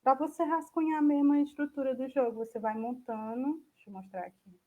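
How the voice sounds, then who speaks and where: High-voiced Brazilian woman giving an online demo. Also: fairly even intonation, a little fast, narrow, tinny audio. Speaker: speaker giving an online talk. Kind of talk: informal software demo, answering viewer questions.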